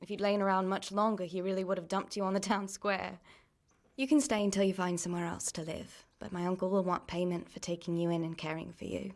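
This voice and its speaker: A woman acting a caring, world-weary young miller's niece in a medieval video game drama, plain-spoken and gently chiding. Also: fairly low pitch, flat delivery, brisk, natural voice. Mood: brisk, wry.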